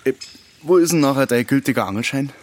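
A man's voice: mid-range voice, fast, a little hiss or hum, lightly acted. A man playing a dry, slightly officious and hesitant villager in a Bavarian dialect audio drama.

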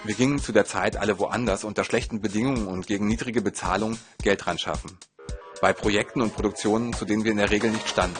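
A man narrating a scripted autobiographical story in the first person. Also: on the low side.

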